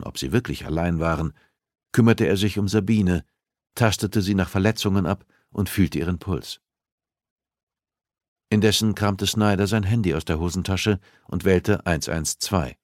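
Low-voiced man narrating a thriller. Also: moderate pace, a little mellow, no room sound, studio quality. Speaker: audiobook narrator. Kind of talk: scripted narration of a thriller novel.

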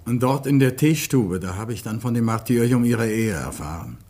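A man's voice: mid-range voice, animated, brisk, a little mellow, slight background noise, lightly acted. Earnest, articulate Englishman of radio drama, acted by a man. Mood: grave, reflective. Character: earnest Englishman recounting and reliving a troubled love affair. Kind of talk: German radio play acting.

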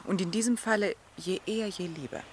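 An actress playing a principled, stern middle-aged countess in a literary radio drama, with formal, pointed diction. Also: female voice, mid-range voice, brisk, slight background noise, natural voice. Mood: firm.

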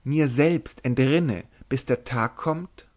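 A man's voice: medium pitch, slow pace, a little mellow. A man reading a storybook, voicing a trembling young noble lady who pleads anxiously and devoutly. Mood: urgent pleading. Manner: stammering, fearful, fervent pleading. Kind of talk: dramatic literary audiobook reading.

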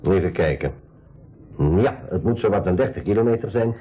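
A level-headed navigator in a radio adventure. His voice is low and matter-of-fact, heard through a slightly dull recording. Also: lively intonation, a little fast, slightly rough. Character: level-headed male travelling companion and map reader. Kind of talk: 1980s Dutch-language school radio drama acting.

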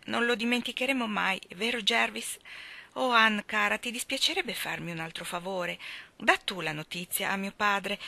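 A woman's voice: mid-range voice, vocal fry, pushed and bright, dry recording. A woman voicing an anxious, self-pitying young bride who sobs and pleads. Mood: sweet coaxing. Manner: sobbing, pleading.

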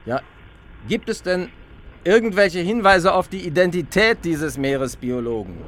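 A man's voice: high-pitched, a little slow. A man playing a probing, dry-mannered police inspector in a radio drama. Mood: eager. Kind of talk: radio drama acting and narration. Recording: loud vehicle noise behind.

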